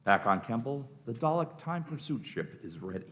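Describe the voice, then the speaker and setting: A man's voice: medium pitch, very animated, moderate pace, a bit bright. A man reading scripted stage directions as narrator of a radio play.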